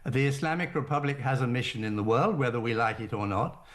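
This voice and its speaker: Measured older man, formal guest. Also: mid-range voice, a bit bright. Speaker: guest, former diplomat. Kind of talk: radio interview.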